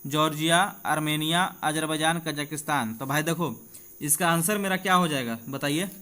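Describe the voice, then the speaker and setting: Higher-voiced Bihari man teaching. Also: moderate pace, pushed and bright. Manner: conversational, addresses students directly with repeated check-ins. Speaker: exam coaching teacher. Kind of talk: informal exam-prep lesson, reading out quiz questions and explaining answers.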